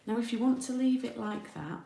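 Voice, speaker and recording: A woman's voice: fairly high pitch, fairly quick, vocal fry, warm tone. A woman with a Yorkshire accent giving a casual live craft tutorial, chatty and informal, thinking aloud as she works. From Yorkshire, England. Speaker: card-making demonstrator, host. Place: indoor room with a window. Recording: roomy, distant mic, faint noise, clicks.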